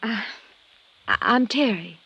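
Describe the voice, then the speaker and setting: An actress playing a young widow in 1950s radio style, gracious, chatty and gushing. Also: female voice, fairly high pitch, animated, takes it slowly, gravelly, pushed and bright, slightly rough, highs cut off, full character voice. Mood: gracious, reassuring. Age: young adult. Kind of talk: 1950s radio drama acting. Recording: vintage radio recording with faint noise.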